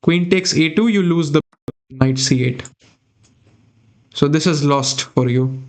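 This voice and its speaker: A man's voice: a little high, slow pace. Young Indian man streaming chess. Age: young adult. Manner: thinking aloud, chatting with viewers. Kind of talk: live chess commentary. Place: small room indoors.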